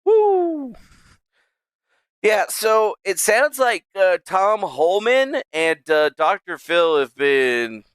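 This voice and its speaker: A man's voice: high-pitched, sing-song, very slow, fairly bright tone, clear, resonant tone, no room sound, studio quality. Brash, sarcastic male streamer. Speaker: streamer host. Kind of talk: casual profane rant.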